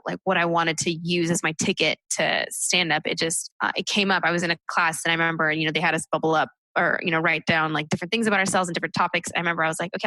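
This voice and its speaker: Fast-talking bright-voiced woman comic. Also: lowish voice, no echo, low-quality recording. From United States. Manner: chatty, full of "like" and "you know" fillers. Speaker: stand-up comic guest. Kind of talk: casual interview chat.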